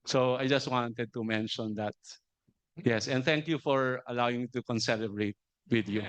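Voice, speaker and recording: A man, a priest, giving brief, halting remarks at a conference. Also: mid-range voice.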